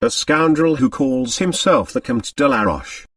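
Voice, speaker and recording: A man voicing a blunt, wealthy father in an audiobook, gruff, angry and shaken. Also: medium pitch, animated, a little slow, no room sound, clean studio sound, recorded from a distance. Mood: heavy, bitter. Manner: blunt, barking, muttering.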